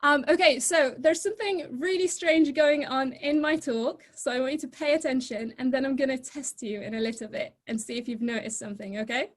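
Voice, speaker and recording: Young woman, from Egypt, high voice, lively chatty presenter, video call. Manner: lively, chatty and informal, quick to laugh. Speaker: guest speaker.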